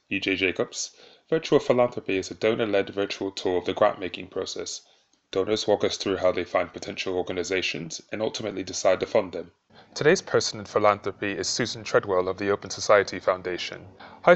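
Deep-voiced interview host. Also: fairly quick, gravelly. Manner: friendly, conversational prompting. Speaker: podcast host. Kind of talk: hosted interview. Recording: dull lo-fi remote audio.

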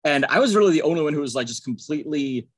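A man from Texas, co-hosting a sports podcast in a relaxed, easygoing way. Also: a little high, racing, husky, no echo. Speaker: podcast co-host. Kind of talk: casual sports podcast chat.

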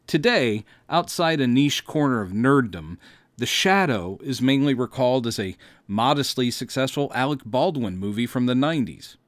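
Animated, wry American male storyteller. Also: mid-range voice, dry recording. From Texas, United States. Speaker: podcast host and director. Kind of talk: scripted first-person narration.

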